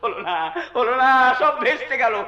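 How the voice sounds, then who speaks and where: An actor playing a greedy, shifty landlord in Bengali folk theatre, blustering and repeating himself in an exaggerated way. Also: male voice, extremely high pitch, animated, a little fast, pushed and bright, echoey room, lo-fi sound, exaggerated voice. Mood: agitated, lamenting. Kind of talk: Bengali folk theatre (jatra) stage acting.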